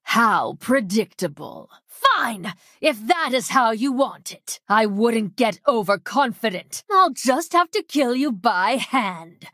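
A woman's voice: fairly high pitch, sing-song, takes it slowly, sharp tone, no room sound, clean studio sound, exaggerated voice. An actress playing a coldly arrogant female mad scientist villain in a video game, with a clinical, mocking manner and precise diction. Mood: coldly taunting. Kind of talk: theatrical video game voice lines.

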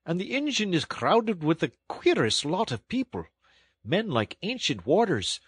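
A man voicing a stern but kindly train engine driver who speaks in a firm, plain way, in a husky voice. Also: medium pitch, a little slow, no room sound. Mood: alarmed calling. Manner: steady, firm and plain-spoken. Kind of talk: audiobook storytelling.

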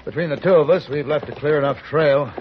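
An actor playing a shrewd, self-assured gunfighter for hire in a 1950s radio western, with an American accent and a deliberate, taunting delivery. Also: male voice, on the high side, natural voice. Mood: determined. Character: shrewd, cool-headed gunfighter for hire in the Old West. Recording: noisy, dull 1950s radio recording.

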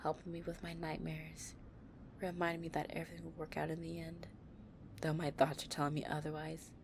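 A woman playing a possessive young gang-leader girlfriend, tough but tender, in an intimate, soft-spoken audio roleplay. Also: low voice, completely flat delivery, brisk, gravelly, slight background noise. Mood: tender, grateful.

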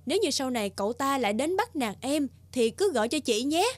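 A woman's voice: high voice, very animated, a little fast, no room sound. A woman voicing a brave, protective older-sister flower in a children's cartoon fairy tale. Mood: reassuring.

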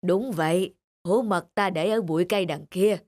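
A woman voicing a stern but kindly old grandfather bear in a children's cartoon, with a measured, admonishing manner. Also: fairly low pitch, darkish tone, natural voice. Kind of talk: Vietnamese children's cartoon dubbing.

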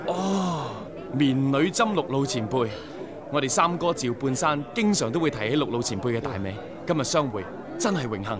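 A man's voice: mid-range voice, animated, moderate pace, close to an everyday voice. A man voicing a polite rebel swordsman, Hong Kong Cantonese accent, crowd noise behind. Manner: respectful, eager, formal greetings. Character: courteous young male sworn brother of a rebel society. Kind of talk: 1990s radio drama acting.

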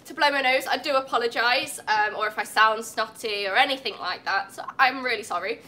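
A woman's voice: fairly high pitch, brisk, bright, forceful tone, low-quality recording. Chatty young English woman with a cold. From England. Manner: chatty and apologetic, laughs often. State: has a cold. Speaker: cat cafe staff hosting a livestream. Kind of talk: casual livestream chat. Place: indoor cat cafe with large windows. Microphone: phone on a tripod.

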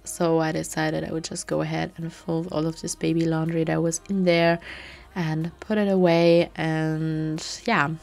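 A woman in her twenties narrating a home cleaning vlog in a relaxed, chatty voice-over, full of fillers. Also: sits low, creaky. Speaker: homemaking vlogger.

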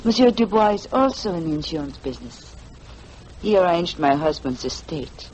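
An actress playing a poised, evasive widow who speaks formally and coolly in a 1940s radio drama. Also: female voice, on the low side, very animated, moderate pace, darkish tone. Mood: coolly pointed. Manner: cool, formal, dismissive. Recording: noisy old 1940s radio recording.

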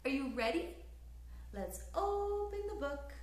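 A woman in her thirties reading a picture book aloud to young children in an expressive, sing-song way, recorded in a living room. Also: high voice, measured pace, roomy sound. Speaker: children's story time host. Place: small living room, indoors on a couch.

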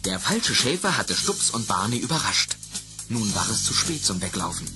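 A man narrating a children's radio play in a calm storytelling manner. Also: lowish voice, normal speed, music in the background.

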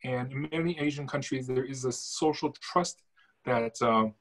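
Middle aged health expert on zoom, husky voice, rattles off facts quick. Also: male voice, mid-range voice, fairly bright tone, poor audio. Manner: quick, fact-listing answers. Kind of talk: panel discussion. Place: home room. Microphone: video call.

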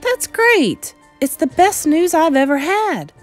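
An excitable young mouse boy from a children's storybook, acted out by a woman. The voice sits high and swings about in pitch with earnest, inquisitive energy. Faint music plays behind it. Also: normal speed. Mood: excited.

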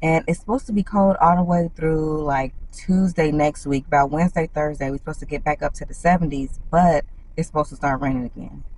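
Low-voiced lady in her 30s just chatting to her phone cam from her car, super casual. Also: fairly quick, few pauses, a little mellow, a little hiss or hum, rough recording. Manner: chatty, addresses viewers as girl. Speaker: vlogger. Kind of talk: casual chat to camera. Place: inside a parked car. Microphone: phone camera at arm's length.

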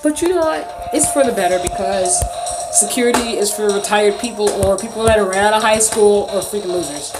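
A woman's voice: medium pitch, a little fast, over loud music. Indignant woman venting to her phone. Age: 30s. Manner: indignant, emphatic, slangy venting with mimicked quotes. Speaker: vlogger. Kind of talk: casual storytelling rant to camera. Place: small bedroom, indoors. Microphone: phone at arm's length.

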